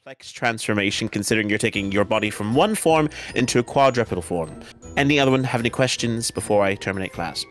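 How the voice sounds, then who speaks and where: A man's voice: fairly low pitch, lively intonation, fast, soft background music, close to an everyday voice. A man playing a formal, wordy magic-school professor in a Minecraft roleplay. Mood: formal, brisk. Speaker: professor character.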